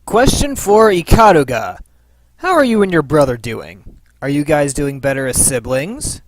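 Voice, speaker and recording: A man's voice: fairly high pitch, sing-song, normal speed, slight background noise. A man hosting a fan Q and A with scripted, playful, theatrical announcing. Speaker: host of a fan Q and A.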